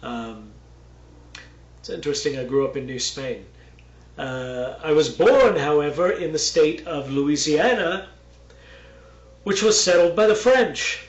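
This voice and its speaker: A man's voice: fairly high pitch, animated, measured pace, pauses often, reverberant, highs cut off. A man from Texas giving a casual, rambling Bible lesson with many fillers and asides. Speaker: Bible teacher.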